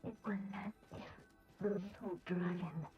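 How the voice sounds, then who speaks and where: A woman playing an enigmatic, solemn female storyteller narrating a video game with grave, deliberate phrasing. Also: fairly low pitch, steady tone, muffled recording, soft background music, natural voice. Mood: grave narration.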